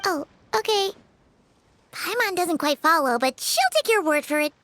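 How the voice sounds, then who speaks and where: A woman's voice: very high voice, normal speed, slight background noise. A woman voicing a small, childlike, chirpy and naive fairy companion in a video game, talking expressively. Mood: curious, unsure. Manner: refers to self in third person, expressive.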